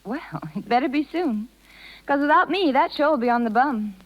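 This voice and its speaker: High-voiced feisty young circus girl. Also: expressive, moderate pace. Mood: cocky. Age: young adult. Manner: slangy, brash, stubborn. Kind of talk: 1930s radio acting. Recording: muffled 1930s radio broadcast.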